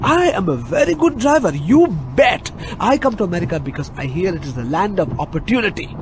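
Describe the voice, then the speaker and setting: An actor playing a chatty, boastful immigrant cab driver with an Indian accent, hesitant and earnest in a comic radio drama. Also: male voice, high voice, big pitch swings, brisk, background noise. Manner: hesitant yet garrulous, earnest, comically emphatic.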